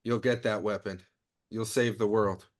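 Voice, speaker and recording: A man's voice: fairly low pitch, steady tone, normal speed, close to an everyday voice. A man reading the part of a wry, profane action-hero sidekick in a comedy table read. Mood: dying, earnest.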